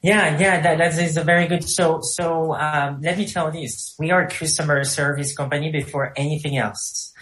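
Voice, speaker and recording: A man's voice: a little high, steady tone, normal speed, hardly any pauses, fairly bright tone, poor audio. Man with a thick French accent. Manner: eager, repeats words, restarts sentences. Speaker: business co-founder, guest. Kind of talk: interview answers.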